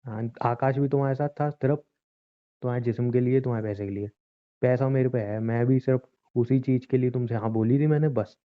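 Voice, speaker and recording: A man's voice: mid-range voice, steady tone, brisk, clear, resonant tone, close to an everyday voice. A man playing a callous, shameless husband, dismissive and irritated as he argues in an amateur audio drama, heard over a thin phone line. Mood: contemptuous. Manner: dismissive, brushing off accusations. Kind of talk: hobby audio drama, staged husband-wife quarrel.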